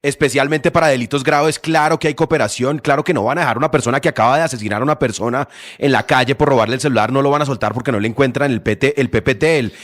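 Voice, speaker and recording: A man's voice: medium pitch, racing, a bit bright. A man debating on a radio panel, insistent and argumentative.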